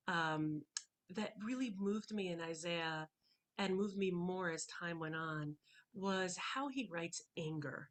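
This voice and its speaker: Woman poet, native Hebrew speaker, lowish voice, measured reading with hesitant asides, on a video call. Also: fairly bright tone. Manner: thoughtful, hesitant between poems, slow and deliberate when reading. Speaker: poet reading her work. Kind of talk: poetry reading with commentary. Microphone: computer microphone on a video call.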